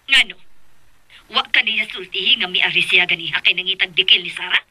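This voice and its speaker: A woman playing a stern, angry mother interrogating someone over the telephone in a radio drama, with a husky voice. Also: medium pitch, a little fast, sharp tone, faint music behind. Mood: stern, demanding. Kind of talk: Philippine radio drama acting.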